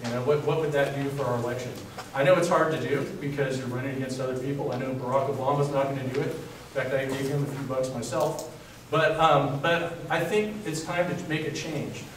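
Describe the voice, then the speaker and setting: Man, candidate, earnest and halting, distant mic, booming hall echo, noisy background. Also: fairly even intonation. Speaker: political candidate. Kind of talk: debate statement. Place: public event hall. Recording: echoey hall from far off.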